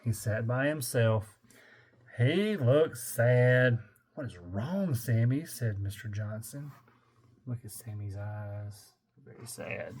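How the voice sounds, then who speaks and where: A man's voice: mid-range voice, slow pace, a little mellow. An older man reading a children's picture book aloud in a playful, theatrical way, stretching words and slipping in wry muttered asides. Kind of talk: animated children's read-aloud with joking asides.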